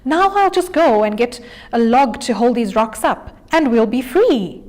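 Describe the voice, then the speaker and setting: A woman's voice: on the high side, lively intonation, unhurried, a little mellow. A woman voicing a small, clever, boastful male jackal trickster in a children's storybook, with theatrical exclamations. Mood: smug.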